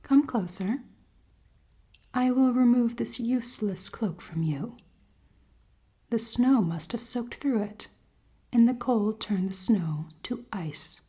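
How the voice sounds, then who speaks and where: Woman playing a slow, breathy, haughty elven queen. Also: a little high, animated, full character voice. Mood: commanding, caring. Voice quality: soft, breathy, often whispering. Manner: slow, formal, commanding, teasing. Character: cold-hearted, dominant elven queen, haughty, secretly caring. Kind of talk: ASMR fantasy roleplay.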